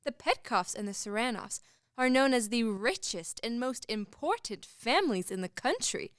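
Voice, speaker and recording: A woman's voice: a little high, expressive, moderate pace, smooth, no room sound, clean studio sound, natural voice. A woman playing a proud, formal middle-aged aristocratic mother in a radio comedy, haughty in her delivery. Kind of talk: stage-comedy radio play acting.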